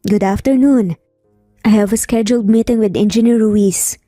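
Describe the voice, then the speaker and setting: Woman as a mellow, self-assured Filipino heiress. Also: medium pitch, normal speed, no room sound, studio quality, close to an everyday voice. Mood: businesslike. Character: elegant, self-assured wealthy female hotel client. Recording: background music under voice.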